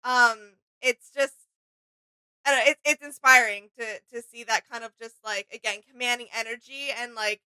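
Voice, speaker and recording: Woman cohost on a reaction vid, highish clear voice, kinda halting while she finds the words, dry sound. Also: fairly even intonation, pushed and bright, dry recording, rough recording. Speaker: reaction video co-host. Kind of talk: casual reaction chat.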